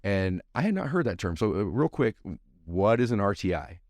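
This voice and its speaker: A very deep-voiced man hosting a podcast, his voice hoarse and gravelly from allergies. He talks in a chatty, upbeat, rambling way. The sound is dry and close, with no room behind him. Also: big pitch swings, moderate pace, darkish tone, no echo. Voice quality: gravelly, scratchy. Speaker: podcast host.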